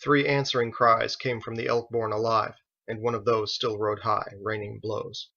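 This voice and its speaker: Gravelly, low-voiced man narrating. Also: little pitch movement, normal speed, sharp tone. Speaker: author reading own novel aloud. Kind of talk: scripted audiobook narration. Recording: dull lo-fi recording.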